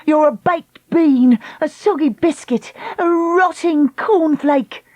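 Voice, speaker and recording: A woman's voice: a little slow, mellow, dry recording. A woman voicing a furious middle-aged mother who shrieks insults. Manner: shrill, screeching insults.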